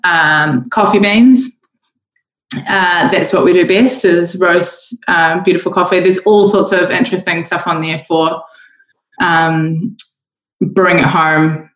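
A woman guest chats easily on a podcast and laughs often. Her voice sits low. The sound is roomy, with noticeable echo. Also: steady tone, slow pace, fairly bright tone. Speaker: guest, coffee roaster. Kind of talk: casual podcast chat.

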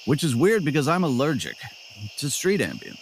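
Deadpan wisecracking co-host. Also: mid-range voice, normal speed, a little hiss or hum, rough recording. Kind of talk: comedic audio sketch.

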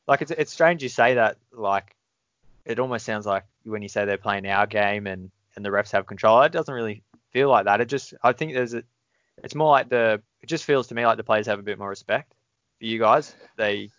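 Man, fairly low voice, dry close sound, muffled. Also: normal speed, fairly bright tone, no echo. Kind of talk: casual conversation.

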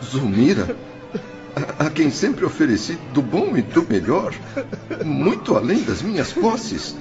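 A man's voice: a little high, wildly swooping pitch, unhurried, highs cut off, music in the background, exaggerated voice. A man playing a desperate, guilt-ridden dead father in a radio drama, pleading and stammering with heavy emotion. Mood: distressed disbelief. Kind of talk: melodramatic radio drama acting.

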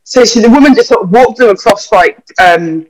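A man's voice: high voice, brisk, low-quality recording. A young man, a vet, chatting casually on a podcast and rambling through sweary anecdotes full of fillers.

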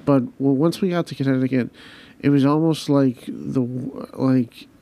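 A man hosting a podcast, talking personally and reflectively, hesitant and halting with frequent restarts. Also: medium pitch, steady tone, mellow. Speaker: podcast host. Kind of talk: personal conversation.

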